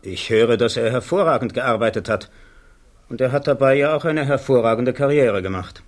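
A self-assured army colonel played by a male actor gives testimony in a radio drama. His pitch sits in the middle, and his answers are blunt, factual and confident. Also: moderate pace, close to an everyday voice. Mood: firm. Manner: matter-of-fact, clipped, confident answers. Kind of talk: radio play acting, courtroom testimony.

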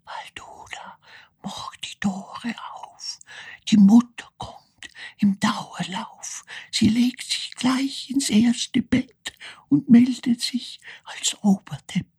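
Male actor doing a crazed mother; eerie drawn-out stage chant, high and whispering, very breathy. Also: a little mellow, no room sound, heavily acted. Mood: eerie singsong. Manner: slow, drawn-out, singsong. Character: deranged mother chanting a mocking asylum rhyme. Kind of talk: theatrical chanting.

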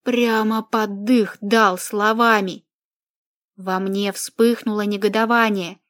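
Lady reading a novel out loud, slow and expressive, middle pitched voice. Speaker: audiobook narrator. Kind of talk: first-person fiction narration.

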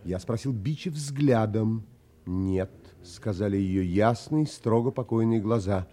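An actor playing an earnest, composed gentleman hero who narrates a seafaring audio play in an expressive, theatrical way. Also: on the low side, unhurried. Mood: reflective, grave.

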